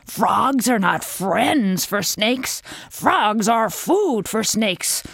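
A stern old-fashioned mother frog, loud and emphatic, as a woman puts her on. She scolds in slow, heavy words that come through dry and close. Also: medium pitch, lively intonation, gravelly, dry recording, exaggerated voice. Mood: scolding.

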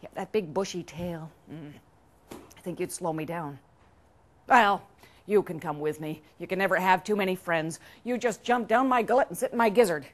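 A woman's voice: lowish voice, moderate pace, lightly acted. A woman voicing a proud but kindly storybook duck who quacks and mumbles hesitantly. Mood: earnest.

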